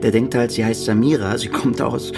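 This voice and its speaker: An actor playing an anxious writer pleading with his partner in a naturalistic radio drama, halting and urgent. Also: medium pitch, steady tone, vocal fry, over music, close to an everyday voice. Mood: apologetic, conciliatory.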